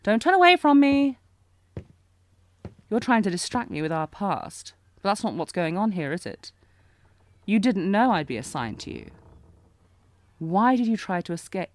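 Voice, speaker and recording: A woman's voice: medium pitch, very animated, moderate pace, pauses often, lightly acted. A woman playing a sardonic, protective knight bodyguard in a fantasy audio roleplay, with a taunting, commanding, intense manner. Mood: insistent, tense. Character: sardonic, protective female knight bodyguard, old academy rival. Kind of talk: scripted fantasy audio roleplay, acted one-sided dialogue.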